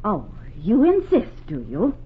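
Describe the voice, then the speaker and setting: A woman's voice: medium pitch, wildly swooping pitch, unhurried, breathy edge, exaggerated voice. An actress playing an indignant middle-aged American housewife and mother in a 1940s radio comedy, with a dry, sarcastic manner. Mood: defiant. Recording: tinny 1940s radio broadcast recording.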